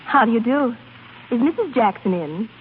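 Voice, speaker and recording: Actress as fluttery high-voiced lady, vintage radio. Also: expressive, normal speed, phone-quality sound, close to an everyday voice. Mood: flustered, pretending. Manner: hesitant, fluttery, with nervous little laughs. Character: flustered, haughty young lady opera singer. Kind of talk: 1930s radio acting. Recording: thin, noisy 1930s radio recording.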